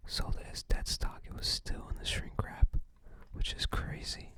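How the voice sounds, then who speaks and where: A person whispering softly and breathily in an unhurried, halting ASMR show-and-tell style. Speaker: ASMR video creator.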